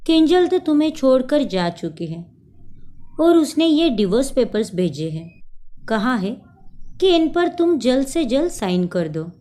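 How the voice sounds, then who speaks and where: A woman's voice: high voice, sing-song, unhurried, mellow. Woman voicing a taunting scheming woman. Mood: scornful. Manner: taunting, bossy, mixes in English words. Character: scheming young woman, cold and mocking.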